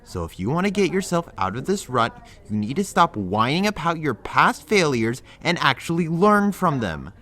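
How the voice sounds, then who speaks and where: A blunt young friend handing out tough love, lecturing firmly in an audio drama. His voice is bright and forceful, with pitch that moves a lot. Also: sing-song, moderate pace, hardly any pauses. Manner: firm, lecturing.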